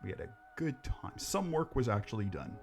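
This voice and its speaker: A man's voice: mid-range voice, very animated, normal speed, creaky, soft background music. A middle-aged Canadian man co-hosting a podcast, chatting casually and joking in self-deprecating banter. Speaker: podcast co-host. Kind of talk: casual chat.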